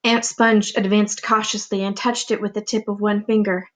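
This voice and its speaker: A woman reading a children's storybook aloud as a teacher would, with expressive, deliberate narration. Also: mid-range voice.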